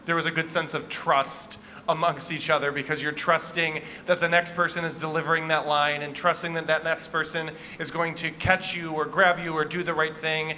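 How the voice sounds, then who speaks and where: Bright-voiced man giving encouraging feedback. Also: on the high side, little pitch movement, normal speed, few pauses, a little hiss or hum. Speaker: theatre festival judge giving feedback. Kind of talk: informal spoken critique to young performers.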